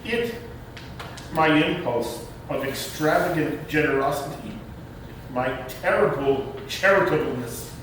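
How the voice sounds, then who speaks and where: Man acting a hesitant, slow-spoken proper uncle. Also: lively intonation, a bit bright. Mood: grandly resigned. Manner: hesitant, formal, halting. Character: timid, reluctant, proper uncle who dreads babies. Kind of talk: stage readers' theater acting. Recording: roomy, distant microphone with rumbling noise.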